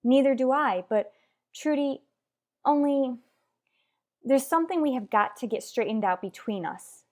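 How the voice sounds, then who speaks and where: A woman's voice: high voice, animated, a little slow, darkish tone. A woman playing a headstrong married mother in a radio soap, lecturing her rival bluntly and emphatically. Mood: earnest, tense. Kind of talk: radio soap opera acting.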